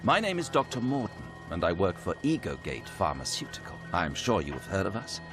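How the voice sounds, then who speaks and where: A man's voice: low voice, wildly swooping pitch, a little fast. An actor playing a calculating, ominously polite male scientist in a game cutscene, speaking formally and theatrically. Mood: calculating, courteous. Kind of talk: scripted game cutscene dialogue. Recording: music behind the voice.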